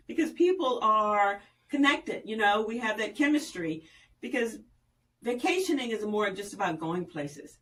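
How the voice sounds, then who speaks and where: A woman's voice: on the high side, a bit bright, far from the mic, poor audio. Polished woman guest, a little off-mic. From Virginia. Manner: polished, promotional, measured. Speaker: tourism official guest. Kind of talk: radio interview.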